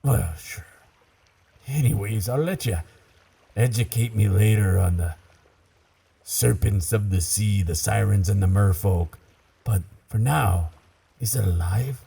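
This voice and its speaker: Man playing a deep, gravelly pirate captain. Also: mellow, full character voice. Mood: baffled, wary. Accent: stage pirate. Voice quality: low, gravelly. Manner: slow, musing, salty seafaring talk. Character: gruff, curious male werewolf pirate captain. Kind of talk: roleplay audio drama.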